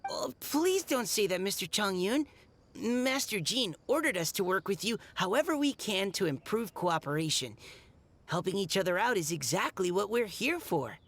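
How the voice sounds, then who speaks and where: A woman's voice: mid-range voice, big pitch swings, moderate pace. A woman playing a polite, helpful young knight in an anime video game.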